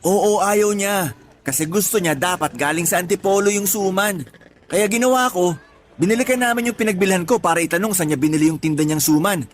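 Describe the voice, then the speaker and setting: A man voicing a worn-out young husband who grumbles about his partner's demands, his voice sitting a little high. He talks over a faint music bed in a radio drama. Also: brisk, close to an everyday voice. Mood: exasperated. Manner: exasperated, complaining. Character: harried young husband, exasperated and put-upon.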